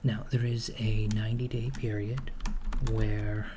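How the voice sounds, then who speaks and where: A soft, half-whispered man playing a villainous hiring officer, polite and matter-of-fact in an ASMR roleplay. He talks very slowly and haltingly, with little rise or fall in pitch. Clear background noise and keyboard typing sit behind him. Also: level tone, lightly acted. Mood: businesslike. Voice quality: soft-spoken, often slipping into whisper. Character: polite, bureaucratic male henchman recruiter at an evil corporation. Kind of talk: ASMR roleplay, improvised job interview. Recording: clearly noisy close recording, keyboard typing. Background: computer keyboard typing.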